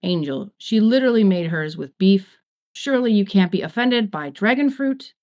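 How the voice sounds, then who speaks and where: A woman's voice: mid-range voice, animated, moderate pace, a little mellow, close to an everyday voice. A woman voicing a sardonic, laid-back male demon in a story reading, with a casual, smug delivery. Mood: smug, teasing.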